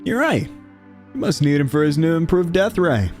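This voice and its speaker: A man's voice: on the high side, sing-song, moderate pace, darkish tone. Guy doing grumbly sardonic shop clerk, blunt, comic drama, bit of music under. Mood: sardonic warning. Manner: curt, sarcastic. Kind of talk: motion comic voice acting.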